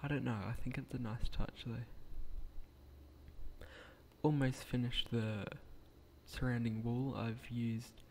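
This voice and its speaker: A young man talks quietly and a bit hesitantly, restarting his sentences as he narrates a game build. His voice is croaky and gravelly, mellow in tone and fairly flat in pitch. A faint hiss sits under the recording. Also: slow pace, pauses often. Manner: hesitant, rambling, with frequent restarts; speaking quietly at night. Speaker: gaming channel creator.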